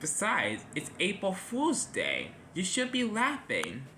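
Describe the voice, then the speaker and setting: A man voicing a playful male toy engine who talks in a lower, sheepish, laid-back way. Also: wildly swooping pitch, slow, bright, forceful tone, slight background noise, heavily acted.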